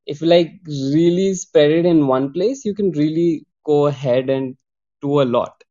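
A man's voice: fairly high pitch, unhurried, warm tone. A young man in his twenties, a guest on an online talk, telling his story in an earnest, easygoing way. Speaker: guest, architecture YouTuber. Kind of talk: casual conversation. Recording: dull lo-fi call audio.

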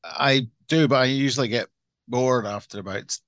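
A middle-aged man from Glasgow with a Scottish accent, co-hosting a podcast and being interviewed, wry and self-deprecating with halting restarts. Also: mid-range voice, vocal fry, fairly bright tone, no echo. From Scotland. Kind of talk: casual podcast chat.

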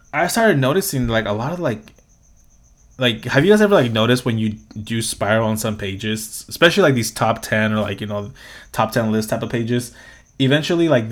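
A young man chats alone on his podcast in a loose, wandering way, with a slightly low voice. He swears freely and laughs now and then as he talks. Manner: rambling, profane, laughs often. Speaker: podcast host. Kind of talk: casual solo podcast chat.

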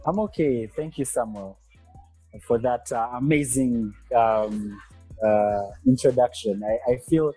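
A young man from Uganda, a guest entrepreneur, talking in a podcast interview with hesitant pauses and restarts. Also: mid-range voice, slightly rough, soft background music, low-quality recording. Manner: hesitant, many fillers and restarts, soft-spoken.

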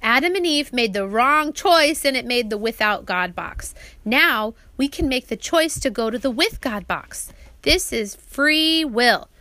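A woman with a high, bright voice reads a children's faith book aloud. She is teacherly and keeps turning to young listeners with simple questions. The sound is close and dry. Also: expressive, unhurried, dry recording. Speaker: author reading her audiobook aloud to her children.

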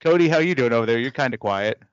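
A man's voice: medium pitch, lively intonation, fast talker, dry recording, lo-fi sound. Joking man in his thirties hosting. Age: 30s. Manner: joking, teasing, upbeat. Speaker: podcast host. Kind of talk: casual podcast chat.

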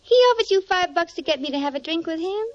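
A woman's voice: extremely high pitch, lively intonation, fast. An actress playing a flirty, anxious married woman at a bar in 1950s radio drama style. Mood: breezy, guarded. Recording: dull, muffled old radio recording.

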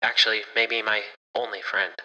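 Man as nervous earnest guy, very bright tense voice, halting, long reverb, audio fiction. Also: on the low side, level tone. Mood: reassuring, earnest. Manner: stammering, earnest. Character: anxious young man, a demon's earnest loyal friend.

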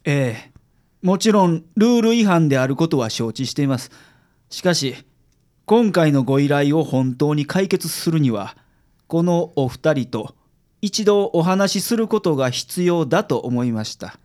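A man with a Kansai accent acting a confident, frank private detective in a radio drama, explaining things in a measured way. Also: a little high, animated, darkish tone. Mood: calm, formal. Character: confident, frank male private detective reporting calmly to a client. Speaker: amateur radio drama actor.

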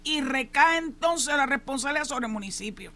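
A woman's voice: high-pitched, lively intonation, brisk, pushed and bright. A Puerto Rican woman hosting a show, giving emphatic opinion commentary on local news and dropping in English asides. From Puerto Rico.

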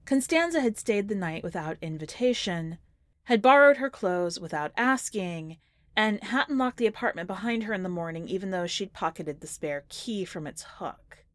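A woman narrating literary fiction from a script, with measured, deliberate phrasing in short clipped sentences. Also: medium pitch, animated, dry recording, studio quality. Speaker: podcast host reading a short story.

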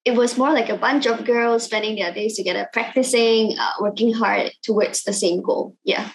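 A woman of twenty from Malaysia, a Cantonese native speaking English, answering interview questions in a soft, hesitant way with frequent ums. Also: a little high, steady tone, normal speed, a little hiss or hum, low-quality recording. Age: 20s. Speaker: singer guest.

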